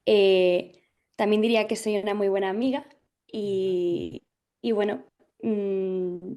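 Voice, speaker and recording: A young woman from Galicia, an actress, talking through an interview thoughtfully, with frequent pauses and fillers as she searches for words. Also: medium pitch, steady tone, dark tone. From Galicia, Spain. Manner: thoughtful, hesitant, many fillers and restarts. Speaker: actress guest. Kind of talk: casual interview chat.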